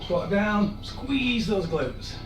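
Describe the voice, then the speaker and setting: A man, hoarse and losing his voice, leading a live-streamed workout with chatty, encouraging asides. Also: high-pitched, expressive, drawn-out words, distant mic. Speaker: fitness instructor. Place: room in an old house. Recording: echoey room, off-mic, music behind.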